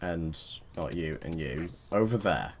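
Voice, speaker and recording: A man's voice: very deep voice, expressive, measured pace. A man giving casual let's play commentary, rambling and muttering to himself as he plays, joking at his own expense. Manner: self-mocking, chatty.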